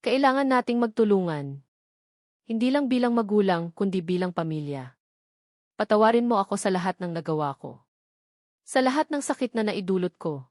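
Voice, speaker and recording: A woman's voice: mid-range voice, lively intonation, moderate pace, long pauses, darkish tone, dry recording, close to an everyday voice. A protective father read by a woman narrator speaks with earnest, resolute reassurance in a family drama story. The sound is dry and close. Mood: pleading.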